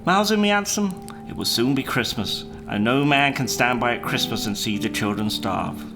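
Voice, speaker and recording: A man voicing a courteous, selfless old fisherman in a storybook, with a gentle, earnest and resolute manner. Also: mid-range voice, lively intonation, normal speed, over music, close to an everyday voice. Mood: solemn resolve. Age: older.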